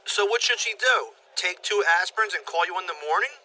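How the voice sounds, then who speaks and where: A man's voice: sits high, a little fast, bright, forceful tone, slight background noise, rough recording. A man playing a clueless, easygoing husband in a comic audio play, casual and blasé.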